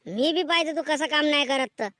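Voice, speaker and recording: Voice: high voice, fairly quick, sharp tone. A performer voicing a scolding, sarcastic village father in a comedic cartoon, with a rural Maharashtrian Marathi accent. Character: grumbling, mocking, boastful village father. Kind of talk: comedic cartoon skit dialogue.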